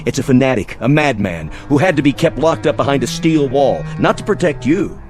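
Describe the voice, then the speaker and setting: An actor playing a domineering military officer who commands and rants at a crowd in a radio drama. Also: male voice, mid-range voice, expressive, a little fast, close to an everyday voice. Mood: ranting. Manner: commanding, ranting, shouting orders. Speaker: military leader rousing a crowd. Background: music score.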